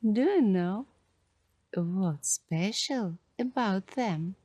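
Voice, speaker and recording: Very slow, soft-spoken ASMR woman. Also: medium pitch, lively intonation, dry recording. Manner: slow, dreamy, halting short phrases. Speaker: ASMR host. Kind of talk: ASMR guided coloring narration.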